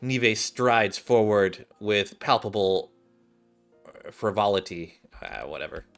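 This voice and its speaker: A man's voice: fairly low pitch, lively intonation, takes it slowly, creaky, soft background music. A man giving casual let's-play commentary as a gaming streamer, talking through a game and reading some of it aloud.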